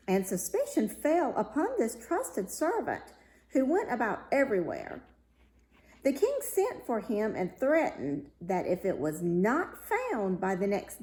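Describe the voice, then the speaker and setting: A woman reading a fairy tale aloud as a storyteller, with deliberate, dramatic phrasing. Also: mid-range voice, mellow. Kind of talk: expressive read-aloud fairy tale narration. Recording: roomy, echoey room sound.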